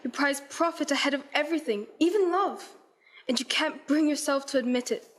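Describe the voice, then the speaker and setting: Woman doing a heartbroken young fiancee, high voice, formal old-fashioned talk, radio drama, resolute. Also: fairly quick, slight background noise, natural voice. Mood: wounded, resolute. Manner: earnest, formal period phrasing. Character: Belle, heartbroken, resolute young fiancée releasing her betrothed. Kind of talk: radio play acting.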